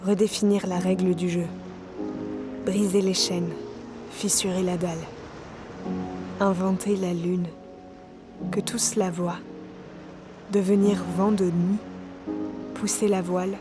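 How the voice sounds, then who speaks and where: A woman playing a weary solo sailor in an audio fiction, speaking her logbook in a measured, terse, introspective way. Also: lowish voice, little pitch movement, music in the background. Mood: exhausted, dreamy. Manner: measured, terse, introspective in the log; colloquial on radio. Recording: loud vehicle-like ambient noise behind.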